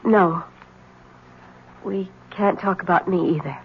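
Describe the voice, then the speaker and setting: An actress playing a troubled, guarded wife in a 1970s radio drama, pleading and evasive in a formal way. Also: female voice, fairly low pitch, slow pace. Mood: uneasy, guarded. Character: guarded, troubled young wife warning a man away. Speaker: actor. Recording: dull, hissy old radio recording.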